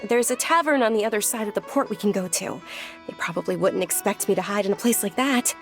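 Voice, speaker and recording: A woman playing a polite, gentle but stubborn young noblewoman in an animated game, speaking in an earnest, hesitant way. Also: medium pitch, quick pace, close to an everyday voice. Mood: relieved, anxious. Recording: music playing behind the voice.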